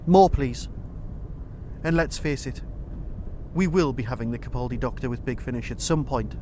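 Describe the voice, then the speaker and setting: A man's voice: medium pitch, normal speed, darkish tone, a little hiss or hum. A man hosting a review podcast, talking in an emphatic, conversational way full of rhetorical questions. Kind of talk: enthusiastic spoken audio drama review.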